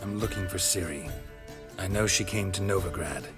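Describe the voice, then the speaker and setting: A man voicing a stoic, world-weary monster hunter in a video game, terse and deadpan with a dryly sardonic streak. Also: deep voice, normal speed, lightly acted. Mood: grave. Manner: terse, deadpan, clipped sentences. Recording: loud music behind the voice.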